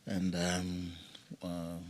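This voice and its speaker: Fijian guy, really low flat voice, hesitant, introducing himself at a meeting. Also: drawn-out words. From Fiji. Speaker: education ministry delegate. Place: conference meeting room.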